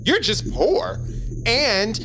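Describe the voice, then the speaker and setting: A woman's voice: a little high, sing-song, very slow, a bit bright, faint music behind, full character voice. A woman playing a cocky, clumsy, charming young space traveler in a comic sci-fi audio drama, slangy and stammering with bravado. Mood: cocky, flustered.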